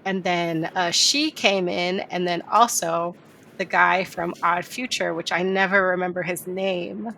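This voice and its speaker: A young adult woman with a slight Chicago accent, hosting a casual podcast chat and telling stories with lively voiced asides. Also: lowish voice, unhurried, gravelly, a little hiss or hum. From Chicago area. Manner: chatty, animated storytelling with voiced asides. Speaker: podcast host. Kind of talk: casual conversation.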